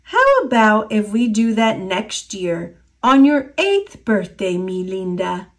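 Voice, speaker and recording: A woman's voice: medium pitch, very animated, takes it slowly. An American woman voicing a kindly, playful old grandmother in a storybook, tender and indulgent. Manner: tender, indulgent, sprinkles in Spanish.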